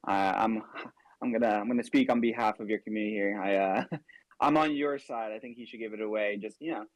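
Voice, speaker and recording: A man's voice: on the low side, a little fast, no echo, poor audio. A man hosting a casual interview, friendly and rambling with many fillers. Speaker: interview host.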